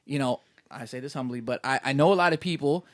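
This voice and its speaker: A man's voice: mid-range voice, fast talker, no echo, clean studio sound. A man hosting a podcast in casual, joking chat, laughing often. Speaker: podcast host.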